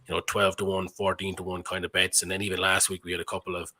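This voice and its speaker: A fast, bright-voiced man hosts a live sports betting stream and keeps talking straight to his viewers. His voice sounds a little husky and nasal today. He speaks a touch low, in a chatty, easygoing way. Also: completely flat delivery. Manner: chatty, addresses viewers directly. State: nasal-sounding, has a cold. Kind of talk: casual live stream chat. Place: in Belgium.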